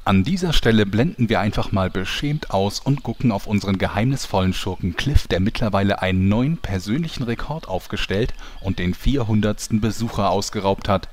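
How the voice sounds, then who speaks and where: An actor playing a wry storyteller, narrating a comedy audio play in a tongue-in-cheek scripted style. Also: male voice, on the low side, brisk, hardly any pauses, a little hiss or hum, natural voice. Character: wry storyteller linking scenes.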